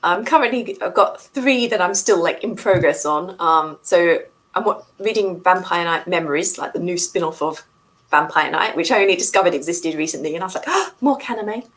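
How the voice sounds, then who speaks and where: A woman's voice: fairly low pitch, animated, brisk, fairly bright tone. A woman co-hosting a casual book chat, talking in a chatty, enthusiastic way. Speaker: book discussion co-host.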